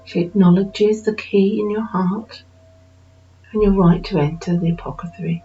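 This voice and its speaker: Mellow woman leading a guided meditation. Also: mid-range voice, fairly even intonation. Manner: slow, soothing, unhurried pauses between short phrases. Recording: dull lo-fi recording with music behind.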